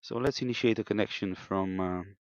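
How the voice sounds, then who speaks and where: A man giving an unscripted, step-by-step technical demonstration in a hesitant, halting way, in a slightly muffled room recording. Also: lowish voice, no echo. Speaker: amateur radio operator demonstrating equipment.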